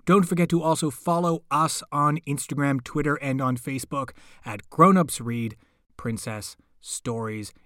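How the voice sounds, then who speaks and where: A man's voice: medium pitch, unhurried, fairly bright tone, no room sound, clean studio sound. A man reading a scripted outro announcement as a podcast host.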